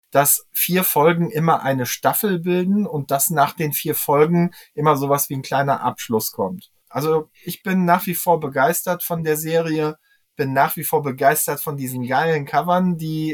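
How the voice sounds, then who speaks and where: Male podcast guest, slightly high voice, explanatory expert delivering a detailed review in conversation. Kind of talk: podcast discussion, giving a review.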